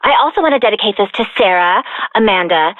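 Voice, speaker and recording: A woman's voice: medium pitch, expressive, fast talker, dry recording, lightly acted. An actress playing a rude, self-absorbed young woman calling a radio show in a video game, with a dismissive delivery. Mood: bratty. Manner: bratty, dismissive. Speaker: radio call-in listener. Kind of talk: scripted game radio call-in. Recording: tinny phone line.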